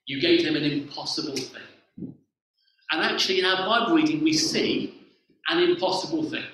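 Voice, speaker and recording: A man's voice: a little high, moderate pace, bright, forceful tone. A man in his forties, a vicar giving a playful all-age sermon and directing his congregation through games. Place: church hall with a lectern. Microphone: far camera on the floor. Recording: echoey church from far off.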